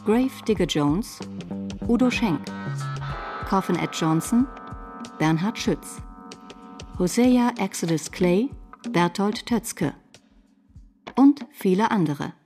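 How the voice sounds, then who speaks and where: A woman's voice: medium pitch, lively intonation, slow, long pauses, a little mellow. A woman reading scripted radio drama credits as an announcer. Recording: guitar music behind.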